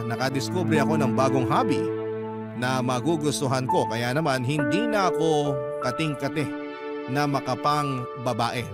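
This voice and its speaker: A man narrating a first-person radio story in a dramatic storytelling manner. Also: medium pitch. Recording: loud music bed under voice. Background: loud instrumental music.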